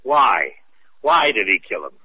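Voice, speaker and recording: A brusque police lieutenant with a lively voice, as an actor plays him on an old radio show. He talks in a businesslike, skeptical way, and the tinny recording sounds like a phone line. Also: lowish voice, a little slow, husky, full character voice. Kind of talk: 1940s radio acting.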